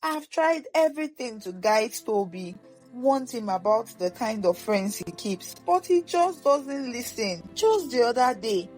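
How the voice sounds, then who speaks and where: A woman's voice: on the high side, sing-song, measured pace, darkish tone, soft background music, poor audio. A woman voicing a worn-out Nigerian immigrant mother in a story, pleading and exasperated. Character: weary, anguished Nigerian immigrant mother of a teenage son.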